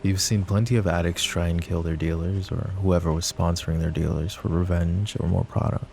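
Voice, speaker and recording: A man playing a possessive young adult mafia boss in an ASMR roleplay, speaking low and measured to an unheard listener. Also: steady tone, creaky, a little mellow, a little hiss or hum. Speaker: voice actor.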